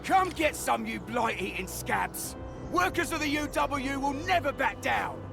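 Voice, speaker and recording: An actor playing a scrappy, hot-headed, blustering male industrial worker in a video game, talking colloquially and excitably. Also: very high voice, a little slow, gravelly, music in the background, heavily acted. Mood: defiant.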